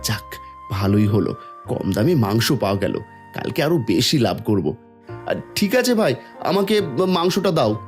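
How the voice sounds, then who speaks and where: A man's voice: fairly low pitch, big pitch swings, normal speed, long pauses, soft background music. A man voicing a greedy, sly village food-stall owner in a cartoon moral story. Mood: bargaining.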